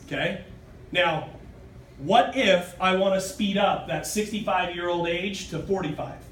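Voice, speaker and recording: A man in his thirties giving an emphatic sales pitch to a seated audience, often asking "okay?" and "true?", recorded from afar on a phone in a meeting room. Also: unhurried, a bit bright, a little hiss or hum. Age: 30s. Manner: emphatic, keeps asking "okay?" and "true?", gestures broadly while standing. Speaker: sales presenter. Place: hotel meeting room, indoors. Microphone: far phone camera in the audience. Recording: roomy, distant phone recording.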